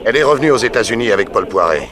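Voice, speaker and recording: A man's voice: fairly low pitch, lively intonation, rapid-fire, a crowd in the background, close to an everyday voice. A man playing a cool, self-assured private detective in a 1960s French radio drama, with a dry, authoritative manner. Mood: wry, explanatory.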